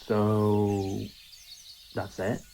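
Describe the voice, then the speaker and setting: A man's voice: lowish voice, completely flat delivery, drawn-out words, mellow, a little hiss or hum. A man playing a curious, earnest human companion to a time traveller in a fan audio drama, with a questioning manner.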